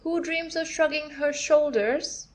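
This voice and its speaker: A woman's voice: sits high, a little slow. A woman playing a flippant, defiant young wife in a classic comedy audio play, haughty, theatrical and mock-innocent.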